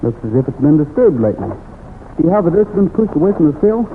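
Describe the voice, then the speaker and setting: A heroic young cowboy from a vintage radio western, American and plainspoken. He takes charge in a fairly high, lively voice. The recording is narrow and clearly old, with faint noise. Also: fast. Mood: alert. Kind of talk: 1940s-50s radio western acting.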